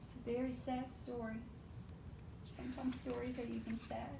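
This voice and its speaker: A woman reading a picture book aloud to young children in an expressive, animated way, often putting questions to her listeners. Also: moderate pace, gravelly. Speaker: preschool storytime reader. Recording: roomy echo with faint low rumble.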